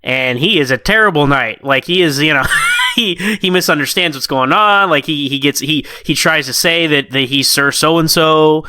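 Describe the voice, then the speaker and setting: American guy podcasting, really bright punchy voice, dry sound, kinda rambly and animated. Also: on the high side, normal speed, smooth, no echo. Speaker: podcast co-host. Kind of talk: casual chat, story review.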